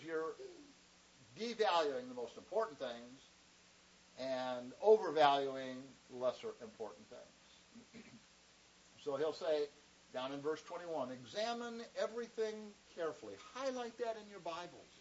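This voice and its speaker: A man with a high voice leads an informal Bible class, talking to his students in a loose, conversational way. The recording is muffled and lo-fi, made in a classroom. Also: animated, measured pace, darkish tone, a little hiss or hum. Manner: conversational, chatty asides with the class. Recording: dull lo-fi recording.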